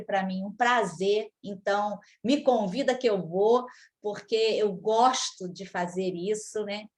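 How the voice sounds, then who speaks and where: A middle-aged woman opening a lecture as guest speaker, effusive and emotional, repeating herself. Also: mid-range voice.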